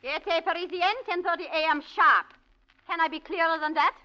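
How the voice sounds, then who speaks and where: A domineering choreographer who gives orders with cold precision. Her voice is high and bright and swings widely. It comes through a muffled vintage broadcast with a faint hiss. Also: brisk. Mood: icy. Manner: crisp, cutting, commanding. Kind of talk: 1940s radio acting. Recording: muffled old radio recording, faint hiss.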